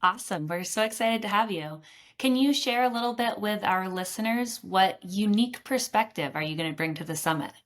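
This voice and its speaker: A woman's voice: mid-range voice, lively intonation, a little fast. Upbeat woman hosting an interview. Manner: upbeat, enthusiastic. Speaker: interview host. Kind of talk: friendly scripted interview questions.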